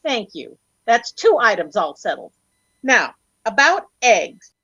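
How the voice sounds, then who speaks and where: An actress playing a shrewd, assertive young wife in an old radio comedy, brisk, insistent and skeptical. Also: female voice, on the high side, big pitch swings, a bit bright, husky, dry recording, heavily acted. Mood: shrewd, firm. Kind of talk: 1940s radio comedy acting. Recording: dull old radio recording.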